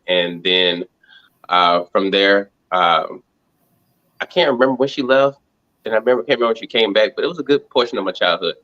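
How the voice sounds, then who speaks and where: A man, answering casual interview questions in a halting, reflective way, thinking aloud. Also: on the low side, pushed and bright, muffled recording. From Montgomery, Alabama, United States. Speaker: reality show contestant interviewed as guest.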